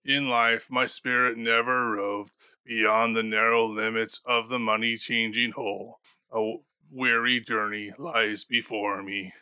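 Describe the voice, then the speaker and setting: A man voicing a doomed, grieving male ghost bound in chains, speaking slowly and gravely for an audiobook. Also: lowish voice, little pitch movement, sharp tone, no echo. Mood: mournful. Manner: slow, solemn and grave. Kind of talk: audiobook character voice.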